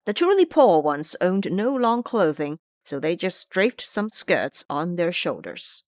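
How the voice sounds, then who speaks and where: A woman narrating a scripted audio drama in an expressive, theatrical storytelling manner. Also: lowish voice, measured pace, dry recording, studio quality.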